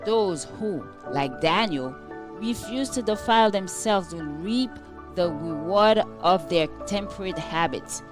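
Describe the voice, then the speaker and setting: A woman reading a scripted devotional in a measured, formal, earnest manner. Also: on the low side, lively intonation, over music. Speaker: devotional reader.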